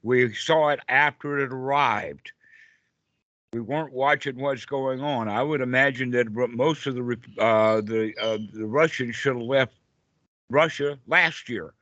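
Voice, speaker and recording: An older man speaks as a meditation teacher in an informal group talk. His voice is bright and forceful, and his manner is jovial and colloquial, often checking in with a short question. The sound is close and dry but a bit dull, like online call audio. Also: mid-range voice, a little slow, no echo.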